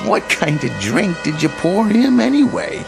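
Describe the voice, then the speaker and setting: An actor playing an incredulous, excitable bar regular in a radio drama. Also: male voice, sits high, normal speed, gravelly, music in the background, full character voice.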